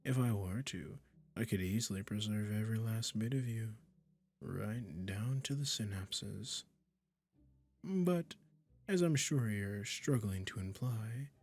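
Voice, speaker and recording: A man's voice: fairly low pitch, expressive, slow pace, long pauses, a little mellow, soft background music, heavily acted. A man playing a smug, possessive, doting male witch in an intimate roleplay audio, cooing condescendingly. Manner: condescending, cooing, breathy endearments. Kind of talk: intimate ASMR roleplay acting.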